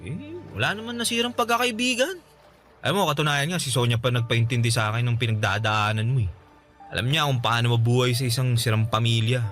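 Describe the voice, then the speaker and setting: A man's voice: medium pitch, quick pace, a bit bright, close to an everyday voice. A man acting a kind, easygoing young man in a radio drama, reassuring his friend and laughing often, over background music. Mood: warm, reassuring.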